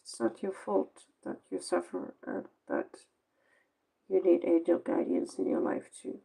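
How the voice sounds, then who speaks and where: A woman's voice: on the low side, very animated, a little slow, gravelly, dark tone, husky, rough recording. A Norwegian-accented woman, a native Norwegian speaker, giving a casual late-night tarot reading in a blunt, sarcastic, rambling way, sounding tired. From Norway. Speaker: tarot reader.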